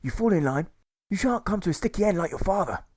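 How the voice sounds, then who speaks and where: A man voicing a rambling, forgetful old army colonel who keeps fumbling for words, with the pitch raised well above his own. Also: animated, fast talker, darkish tone, dry recording. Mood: blustering.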